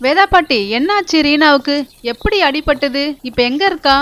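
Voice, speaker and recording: A woman plays a young boy animal in a children's cartoon. He sounds high-pitched and eager. His manner is helpful, friendly and urgent. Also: normal speed, fairly bright tone.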